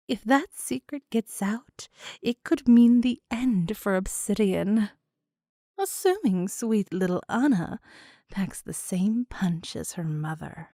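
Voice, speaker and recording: A woman acting a mysterious fantasy storyteller, narrating in a deliberate, portentous way. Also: a little high, dark tone, no echo, studio quality. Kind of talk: dramatic scripted narration.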